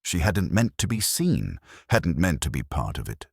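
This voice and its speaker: Very deep, husky male true-crime narrator. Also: very animated, moderate pace, dry recording, studio quality. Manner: dramatic, clipped storyteller phrasing.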